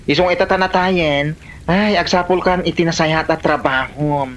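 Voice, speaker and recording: A woman's voice: on the low side, moderate pace, natural voice. A woman acting as a nosy, blunt and suspicious neighbor in an Ilocano comedy drama, with an Ilocano Filipino accent. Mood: mocking, blunt.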